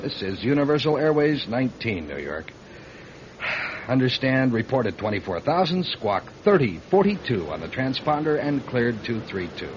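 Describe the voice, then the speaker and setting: A man playing an anxious, loyal airline co-pilot in an old-time radio drama, earnest and halting in his speech. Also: medium pitch, big pitch swings, natural voice. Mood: subdued, official. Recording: muffled, faintly noisy radio drama recording.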